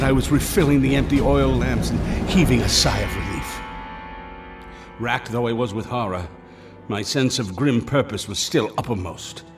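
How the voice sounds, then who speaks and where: An actor playing a grave, haunted gentleman investigator, narrating a gothic horror radio drama in formal, theatrical language with halting dramatic pauses. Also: fairly low pitch, close to an everyday voice. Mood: breathless relief. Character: horror-struck gentleman investigator recounting a descent into madness. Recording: music behind the voice. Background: music.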